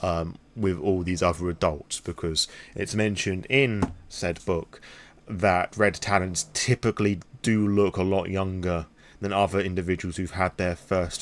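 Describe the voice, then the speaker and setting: A man with a British accent and a fairly low voice talks in an unscripted vlog style. He is candid and informal, wandering through asides with self-deprecating remarks and casual swearing. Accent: British English. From England. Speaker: YouTube vlogger on tabletop roleplaying games. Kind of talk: impromptu casual vlog talk.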